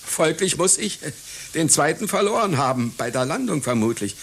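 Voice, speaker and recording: An actor playing a proud, scatterbrained elderly circus clown in a radio satire, speaking pedantically and formally. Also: male voice, on the high side, sing-song, normal speed, a little hiss or hum. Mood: agitated. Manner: pedantic, formal, easily flustered and stammering. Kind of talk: satirical German radio play acting.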